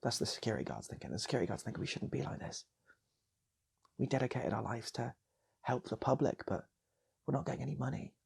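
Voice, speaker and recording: A man improvising a comic story in a soft, breathy ASMR style, often repeating phrases and slipping into whispers. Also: medium pitch, animated, quick pace, long pauses. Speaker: storyteller, channel host.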